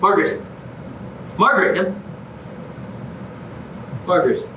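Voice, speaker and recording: An echoey, distant stage recording of a male actor as an earnest, theatrical man in a musical comedy, pleading and eager. His voice sits high with a bright, forceful edge. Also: expressive, slow pace, slight background noise, full character voice. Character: Oliver, eager, self-improved man desperate to win back his ex. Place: staged reading in a reverberant room. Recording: echoey room, distant microphone.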